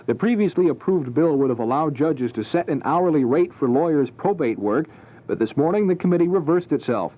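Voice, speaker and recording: Male radio news anchor, slightly high voice, scripted bulletin delivery, narrow old AM sound. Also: lively intonation, brisk. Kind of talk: scripted news and weather reading. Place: radio studio. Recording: band-limited vintage 1970s AM radio recording.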